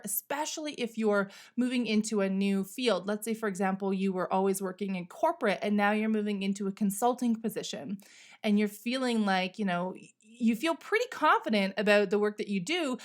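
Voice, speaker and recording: A woman's voice: medium pitch, normal speed. Candid woman in casual solo podcast talk. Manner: candid, conversational, salty language. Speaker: podcast host.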